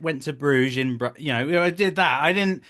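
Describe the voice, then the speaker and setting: A man's voice: on the high side, normal speed, a bit bright. A man in his thirties talking casually as an interview guest, telling jokey, self-deprecating anecdotes as a director. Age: 30s.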